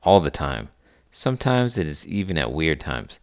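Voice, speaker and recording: A man with a low, creaky voice and a very lively range of pitch. He talks like an elementary teacher chatting with children, explaining things plainly as the host. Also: moderate pace. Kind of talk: friendly educational chat for children.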